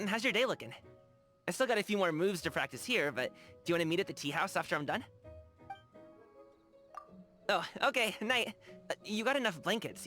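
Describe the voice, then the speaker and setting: Game role: cheerful, earnest young guy, casual and slangy, very lively pitch, music behind. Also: heavily acted. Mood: friendly, caring. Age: young adult. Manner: casual, chatty, slangy, eager. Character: cheerful, earnest young male lion-dancer and tea lover. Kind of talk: animated video game character dialogue.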